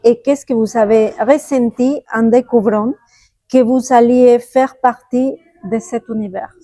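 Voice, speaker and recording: Enthusiastic woman interviewer, mellow tone. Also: a little high, steady tone, measured pace, no room sound. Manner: enthusiastic, frequent short reactions. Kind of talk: interview questions.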